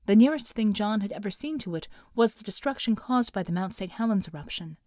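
A woman's voice: mid-range voice, expressive, a little fast, warm tone, no room sound, studio quality. A woman narrating a scripted dramatic action story as an audiobook reader.

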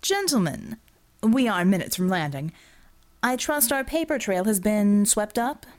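A woman's voice: medium pitch, big pitch swings, measured pace, darkish tone. A woman voicing a self-assured, scheming male villain who leads a crew of crooks, speaking in a wry, formal, commanding way in an audiobook. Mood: businesslike.

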